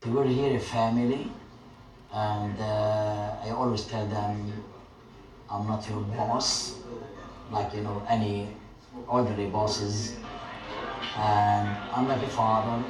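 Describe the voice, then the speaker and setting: A man's voice: fairly low pitch, level tone, a little mellow. Man, earnest and reflective. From Syria. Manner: earnest, reflective, with filler pauses. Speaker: restaurant owner and teacher. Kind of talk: personal interview storytelling. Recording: roomy, distant, noisy ambience.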